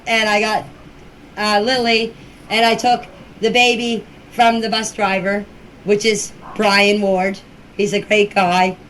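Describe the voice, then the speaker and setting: An older woman giving an informal personal account in a deliberate, halting way, with short emphatic phrases, recorded as an amateur voice message. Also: medium pitch, a bit bright, slight background noise, poor audio. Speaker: relative recounting events. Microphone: voice clip recorded for group chat.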